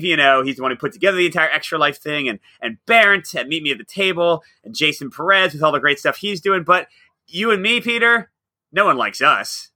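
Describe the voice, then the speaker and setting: A man's voice: on the high side, sharp tone, no echo. A man co-hosting a casual podcast, upbeat and teasing, laughing often. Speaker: podcast co-host.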